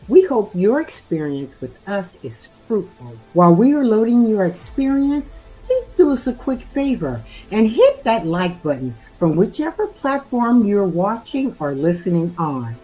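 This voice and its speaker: Mellow woman reading a channel intro. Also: medium pitch, low-quality recording. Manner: friendly promotional read. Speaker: channel announcer. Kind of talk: scripted intro announcement.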